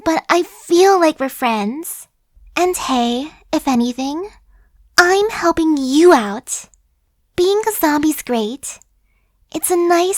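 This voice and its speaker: A woman's voice: sits high, dry recording. A woman voicing a chatty, friendly female zombie who coaxes and persuades in a casual, sly way, in an ASMR roleplay.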